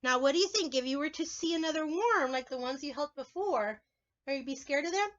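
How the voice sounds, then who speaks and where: A woman teacher reads a picture book to preschoolers in a very high voice. Her pitch swoops widely as she stretches words and talks directly to the children. The sound is slightly dull and lo-fi. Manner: expressive, drawn-out storytelling, addressing children directly. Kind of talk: picture-book read-aloud for young children, with asides and questions.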